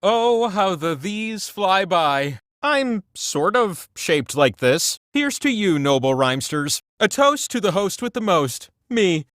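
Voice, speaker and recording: Theatrical, swooping cartoon game-show wheel. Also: male voice, sits high, sing-song, slow pace, fairly bright tone, no room sound, studio quality, heavily acted. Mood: playful, theatrical. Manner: theatrical, mock-grand, wry. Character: talking trivia wheel, vain, playful, theatrical game show host. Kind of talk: scripted quiz hosting with comic asides.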